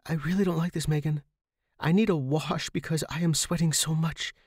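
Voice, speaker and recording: A man's voice: fairly high pitch, fairly even intonation, moderate pace, a little mellow, breathy edge, dry recording, clean studio sound, voice pushed up. A man voicing a nervous, reluctant young woman who complains and pleads, in a fiction podcast. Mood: uneasy pleading. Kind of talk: audio fiction character dialogue.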